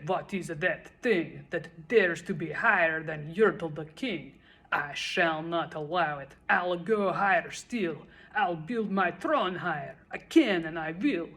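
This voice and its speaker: Lady doing a loud bossy turtle king from a kids storybook, full of himself, bit husky. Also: fairly low pitch, slow, voice pushed down, full character voice. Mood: indignant, defiant. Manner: bellowing, imperious, self-admiring. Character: pompous, tyrannical male turtle king, loud and boastful.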